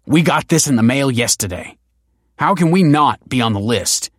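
A man's voice: medium pitch, animated, moderate pace, dry recording, studio quality, voice pushed up, close to an everyday voice. A man voicing a frustrated husband in his late thirties who protests angrily. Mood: protesting. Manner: protesting, angry.

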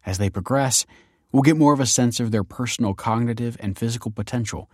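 A man's voice: medium pitch, a little fast, darkish tone, no echo, studio quality, voice pushed up, natural voice. A man voicing an earnest, apologetic female ministry counsellor with a formal, reassuring way of talking, in an audiobook. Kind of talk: audiobook character acting.

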